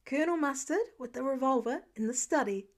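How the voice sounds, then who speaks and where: Woman doing a high, cocky young boy. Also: animated, brisk. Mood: smug.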